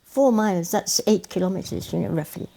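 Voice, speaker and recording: Older woman, mellow voice. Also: lowish voice, brisk, creaky. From Wales. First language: Welsh. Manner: warm storytelling, repeats phrases for emphasis. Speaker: guest, educator. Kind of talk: reminiscing interview answers.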